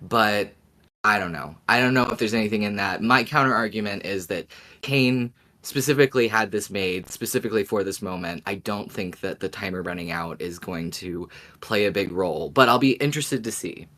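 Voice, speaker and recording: A man's voice: lowish voice, a little fast, bright, forceful tone. A man co-hosting a fan podcast, talking through theories in a casual conversational style. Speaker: podcast co-host.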